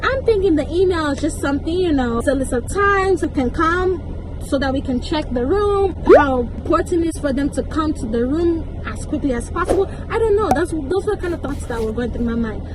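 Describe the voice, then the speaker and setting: A young woman with a high-pitched voice tells a casual story, emphatic and indignant, slipping into slangy asides and acted-out dialogue. She is recorded with clear background noise, like outdoor or vehicle sound. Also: animated, fairly quick. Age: young adult. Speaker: vlogger. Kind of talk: casual storytime, acting out conversations.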